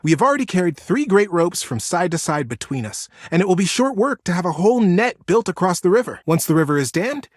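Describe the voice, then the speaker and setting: A man's voice: sits high, very animated, fairly quick, few pauses, dry recording, pitched above the performer's own voice. A man voicing a jubilant, idealistic young male beaver builder in a storybook tale, with an eager, effusive, grandiose manner. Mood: eager, proud.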